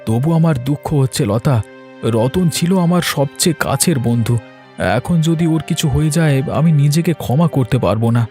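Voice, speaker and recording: A man's voice: on the high side, moderate pace, mellow, close to an everyday voice. A man voicing an anxious, guilt-ridden village man in a fairy tale cartoon. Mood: worried, regretful. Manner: agitated, stammering when alarmed. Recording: voice over background music.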